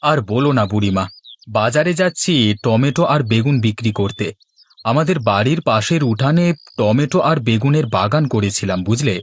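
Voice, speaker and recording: A man's voice: mid-range voice, expressive, normal speed, close to an everyday voice. A man playing a good-natured, easygoing village fisherman and husband in a Bengali moral-story cartoon. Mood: easygoing, friendly.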